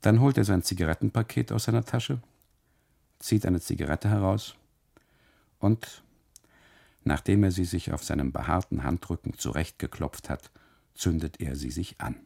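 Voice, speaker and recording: A man performing a scripted literary radio monologue as a first-person narrator, with dramatic, deliberate phrasing. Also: low-pitched, vocal fry, mellow. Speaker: actor narrating as a photographer husband.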